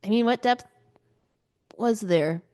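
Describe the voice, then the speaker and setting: A woman's voice: medium pitch, slow pace, warm tone, no echo, clean studio sound. A woman co-hosting a book podcast in casual chat, thinking aloud in an informal way.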